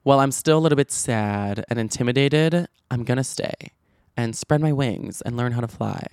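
A man's voice: medium pitch, moderate pace, a little mellow, clear, resonant tone, no echo, studio quality. A man who stutters, hosting a podcast and telling stories in a chatty, gossipy way. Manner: chatty, gossipy storytelling with many likes and restarts. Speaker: podcast host. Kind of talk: casual chat.

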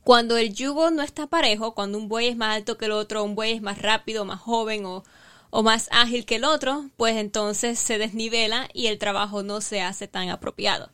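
Female co-host, slightly high voice, explains through stories. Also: normal speed, a bit bright, clean tone. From Cuba. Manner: storytelling, explanatory. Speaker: podcast co-host.

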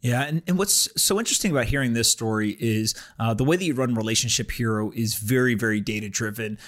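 A man's voice: mid-range voice, fast talker, a bit bright. A man hosting a podcast, talking casually as he reads sponsor spots and interviews guests.

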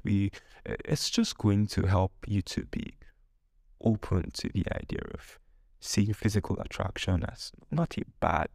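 Deep, gravelly male podcast host. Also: a little mellow, no echo, clean studio sound. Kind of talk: casual humorous storytelling podcast monologue.

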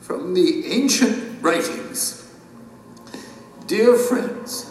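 Grave royal sage, slow formal recitation, slightly high voice, far-off in echoing hall, audio drama. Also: male voice, lively intonation, slight background noise, exaggerated voice. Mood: grave reciting. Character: solemn male sage reciting ancient writings at royal court. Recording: distant, echoing hall with faint music.

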